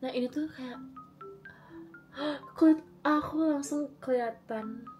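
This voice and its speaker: A young woman in her twenties, slightly hoarse from a recent cold, chatting casually and rambling with lots of fillers and giggles while she does her makeup at home. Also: high voice, takes it slowly, darkish tone, muffled recording, faint music behind. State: recovering from a cold. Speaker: beauty vlogger. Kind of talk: casual makeup vlog chat.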